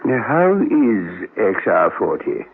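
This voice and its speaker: A man's voice: lowish voice, big pitch swings, slow, gravelly, husky, heavily acted. An actor playing a nervous, flustered elderly inventor in a radio drama, hesitant and stammering as he talks. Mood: hesitant, distracted. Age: older. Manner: hesitant, stammering, repeats himself. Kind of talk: classic radio drama acting. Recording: muffled, phone-like old radio recording.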